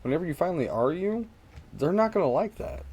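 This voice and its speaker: A man's voice: fairly high pitch, very animated, fast, darkish tone, a little hiss or hum. A man co-hosting a casual podcast, bantering in a teasing, laid-back way. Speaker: podcast co-host.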